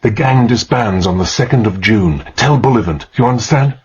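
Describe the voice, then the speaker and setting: A gentleman adventurer in a dramatized thriller. His husky, fairly deep voice is grave and confiding, with a tense edge suited to dangerous undercover work. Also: animated, normal speed, dull audio. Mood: urgent. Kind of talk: dramatized first-person narration.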